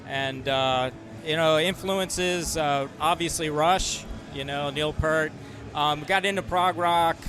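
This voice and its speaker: A male drummer answering interview questions outdoors in a relaxed, earnest way, with lots of fillers. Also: fairly high pitch. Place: outdoors at a noisy event. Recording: outdoor mic with loud music behind.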